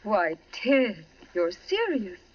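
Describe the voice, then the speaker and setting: A woman's voice: mid-range voice, big pitch swings, slow pace. A woman acting as a coy, affectionate but cool wife in a theatrical 1940s radio drama. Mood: cool, indignant. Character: cool, affectionate wife under suspicion in mystery. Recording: dull, noisy vintage radio recording.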